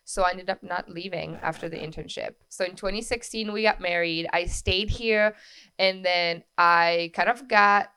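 A woman in her thirties from France with a French accent, telling her story as a podcast guest in a lively way with quick asides. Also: on the low side, smooth. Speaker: guest, wedding coordinator. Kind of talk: casual interview storytelling.

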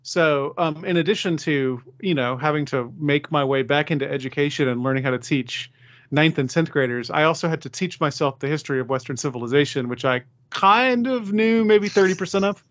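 A man with a somewhat high voice hosts a podcast in a casual, conversational style. The recording is a touch dull and lo-fi. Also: brisk, a bit bright. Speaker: podcast host and high school teacher.

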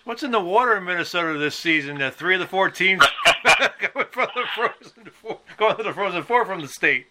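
An American man hosting a casual sports interview, asking questions in a halting way with stammered repeats. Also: sits high, animated, very noisy. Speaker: podcast host.

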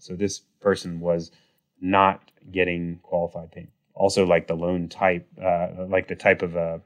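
Low-voiced raspy male host with a cold. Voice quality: raspy, nasal. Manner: relaxed, conversational, with fillers. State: has a cold, raspy voice and clogged nose. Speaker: podcast host. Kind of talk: casual podcast chat.

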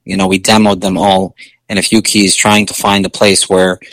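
A male singer with a low speaking voice, chatting casually in an interview with many fillers and restarts. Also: little pitch movement, normal speed, a bit bright, no echo, poor audio.

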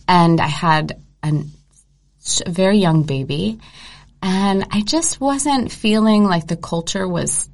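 This is a woman in her thirties, a guest on a podcast, with a lowish voice that has a lot of vocal fry. She tells her story in an unhurried, relaxed way. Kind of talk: casual chat.

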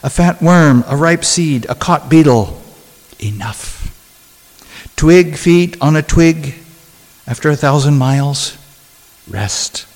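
A man with a somewhat high voice gives a poetry reading. He speaks slowly and deliberately, letting phrases hang with dramatic pauses. The sound carries the long reverb of a hall. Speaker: poet reading own work. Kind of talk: poetry reading with spoken asides.

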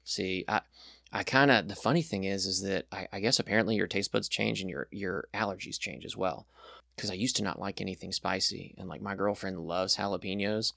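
Low gravelly young man, rambling chat. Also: a bit bright, no echo, clean studio sound. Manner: rambling, enthusiastic, many fillers. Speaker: podcast guest, pilot. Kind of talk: casual chat.

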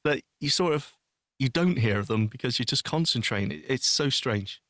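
A man co-hosting a podcast in casual, personal chat, speaking informally and haltingly as he thinks aloud. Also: mid-range voice, lively intonation, fairly bright tone, no echo, studio quality. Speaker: podcast co-host.

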